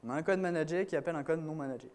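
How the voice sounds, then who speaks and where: A man's voice: a little high, rapid-fire, a little mellow. Casual man giving a tech talk. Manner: casual, lots of fillers and restarts. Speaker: conference speaker. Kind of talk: technical conference talk. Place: conference room with audience.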